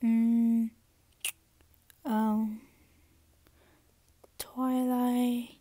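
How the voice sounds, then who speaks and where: A woman's voice: a little high, level tone, drawn-out words, mellow, no echo. A young woman rambling casually in an informal amateur vlog. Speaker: amateur voice impressionist. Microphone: earphone mic, phone at arm's length.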